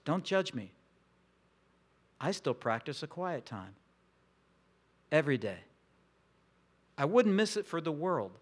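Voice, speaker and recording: Male pastor, unhurried and reflective, slightly high voice, intimate sermon delivery. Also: very animated, husky. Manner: slow, reflective, intimate delivery with long pauses.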